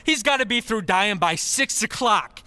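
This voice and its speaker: A woman's voice: mid-range voice, lively intonation, moderate pace, bright, forceful tone. A woman playing an exasperated, know-it-all straight man in an old-time radio comedy duo, explaining impatiently and insistently.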